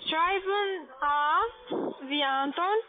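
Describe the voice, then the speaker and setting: High-pitched non-native woman on tinny phone. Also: slight background noise. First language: not German. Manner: halting, broken grammar, spells words out. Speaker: phone scam caller posing as investment firm agent. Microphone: telephone. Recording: tinny narrowband phone line.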